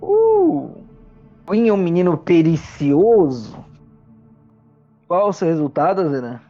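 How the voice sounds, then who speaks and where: A Brazilian game master narrating a tabletop roleplaying game with dramatic, unhurried pauses. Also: mid-range voice, big pitch swings, warm tone. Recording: muffled lo-fi audio with music. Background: music.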